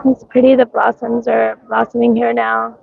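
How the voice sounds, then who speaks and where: A woman's voice: on the high side, little pitch movement, moderate pace, creaky, husky, phone-quality sound. A woman with a mixed accent and a scratchy voice from coughing, livestreaming outdoors at a street parade and rambling with many ums. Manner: rambling, many ums, greets viewers by name. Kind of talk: casual livestream chat.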